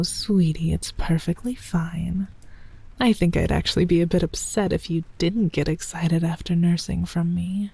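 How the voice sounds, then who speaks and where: A doting, possessive mother dragon, voiced by a woman who talks in a hushed, breathy whisper, slow and unhurried with long pauses. Her tone is low and warm. The sound is dry and close, with no room around it. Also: no room sound, exaggerated voice. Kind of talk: intimate audio roleplay.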